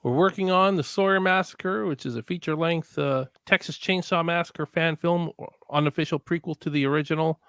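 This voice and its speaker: Casual man from Canada, a filmmaker guest, gravelly voice, easygoing with a quick laugh and some swearing, dry close sound. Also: a little high, no echo. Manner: relaxed, laughs often, swears freely. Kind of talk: casual podcast chat.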